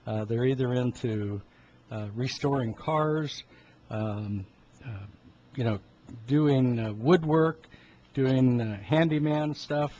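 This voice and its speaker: A man in his seventies giving an informal health talk in a halting, rambling way, often clearing his throat. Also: mid-range voice, warm tone. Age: 70s. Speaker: health talk host, medical practitioner. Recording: dull lo-fi sound with background noise.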